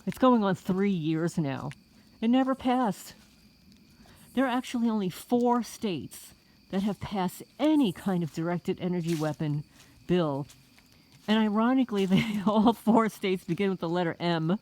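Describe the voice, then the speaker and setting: Female homesteading vlogger, middle-aged, from the Bronx; casual, indignant monologue addressed straight to her audience, mid-range pitch. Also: animated, a little slow, dark tone. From the Bronx, New York. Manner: indignant, rhetorical, addresses listeners as folks. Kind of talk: casual vlog monologue to viewers.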